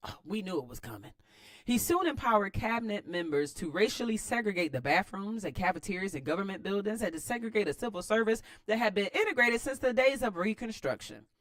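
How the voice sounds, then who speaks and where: American woman doing a read-aloud w casual slangy asides, pitch moves around a lot, super dry recording. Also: fairly quick, no room sound. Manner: expressive, slangy, informal asides. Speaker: host of a read-aloud series. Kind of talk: book read-aloud with casual running commentary.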